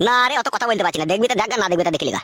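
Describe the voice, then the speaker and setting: A woman's voice: medium pitch, lively intonation, racing, lightly acted. A woman voicing a brusque, incredulous village doctor in a comedy cartoon, slangy and. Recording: loud music behind the voice.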